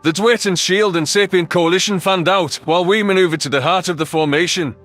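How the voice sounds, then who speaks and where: A man's voice: sits high, fairly quick, a bit bright, soft background music. A man playing a thoughtful alien soldier who narrates his own story in a scripted sci-fi audio drama. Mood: reflective, serious.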